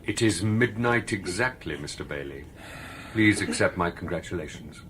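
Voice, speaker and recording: Suave spaceman official, animated delivery. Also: sits low, normal speed, a little hiss or hum. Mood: formal, congratulatory. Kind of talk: radio drama acting.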